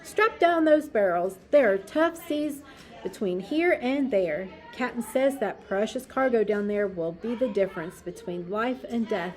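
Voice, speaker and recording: A woman voicing a brisk, businesslike male sailor barking terse loading orders in a children's storybook. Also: fairly high pitch, expressive. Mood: urgent, businesslike. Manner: terse, commanding.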